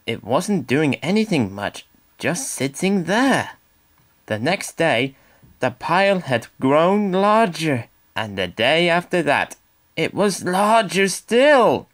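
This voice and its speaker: A man reads a children's storybook aloud. His delivery is animated, with a very varied pitch, and he takes his time over each phrase. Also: slow pace. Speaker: storybook narrator. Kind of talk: expressive scripted children's story narration.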